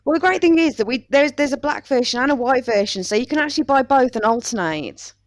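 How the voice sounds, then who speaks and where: A woman's voice: high voice, lively intonation, quick pace, no echo, clean studio sound. A woman hosting a casual podcast chat in a chatty, wry manner. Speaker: podcast host.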